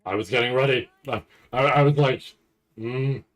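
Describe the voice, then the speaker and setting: A man doing casual livestream reaction commentary, rambling and stammering over repeated words with a nervous, excitable manner. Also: medium pitch, moderate pace. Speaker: streamer reacting to a live anime event.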